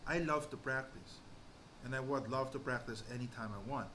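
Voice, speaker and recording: Man rambling casually over clear background noise. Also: mid-range voice, moderate pace, pushed and bright. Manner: rambling, repeats and corrects himself. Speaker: musician hosting a vlog. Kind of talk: casual storytelling and drum kit tour.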